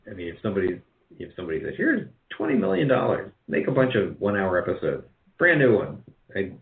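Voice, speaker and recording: A man's voice: lowish voice, huge pitch swings, quick pace, vocal fry, phone-quality sound. A man chatting casually as an interview guest, modest and self-deprecating, rambling through false starts. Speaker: guest, radio drama producer.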